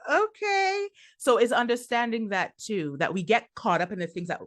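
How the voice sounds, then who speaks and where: A woman's voice: on the high side, sing-song, moderate pace. A woman from Trinidad and Tobago, a coach, talking earnestly as a podcast guest, laughing often and repeating words as she thinks. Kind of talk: casual interview conversation.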